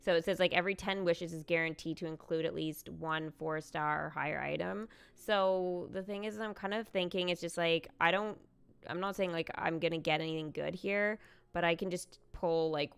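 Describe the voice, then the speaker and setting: Chatty woman streamer with deep-set, smooth voice. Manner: excitable, chatty, thinks aloud. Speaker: livestream host, gamer. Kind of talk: casual live game stream chat.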